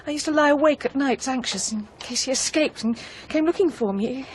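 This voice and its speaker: A woman's voice: sits high, fairly quick, a little hiss or hum, lightly acted. An actress playing a tender, anxious newlywed English woman in a radio drama, well-spoken, wistful and polite.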